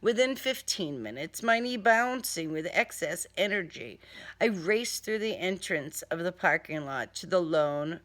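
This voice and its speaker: A woman narrates a crime novel in the first person with theatrical flair. Her delivery is slow and deliberate, her pitch swinging widely, with a sardonic edge to the phrasing. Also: fairly bright tone. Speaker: author reading own crime novel.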